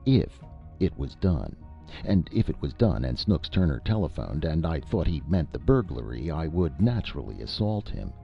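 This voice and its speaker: A man's voice: extremely low pitch, big pitch swings, normal speed, vocal fry, heavily acted. A man voicing an indignant small-town lawyer who speaks emphatically in a storybook reading. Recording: lo-fi with faint music.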